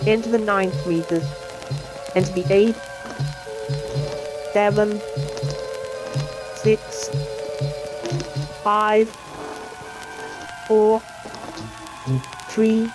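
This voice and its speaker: A woman's synthetic AI voice giving scripted educational science film narration in a measured, explanatory manner. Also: medium pitch, steady tone, low-quality recording. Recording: music playing under the voice. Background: music.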